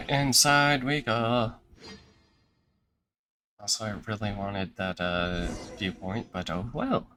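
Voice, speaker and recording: A man's voice: fairly low pitch, expressive, slow, fairly bright tone, faint music behind. A man giving casual live game-stream reactions in short muttered asides, with playful little filler noises.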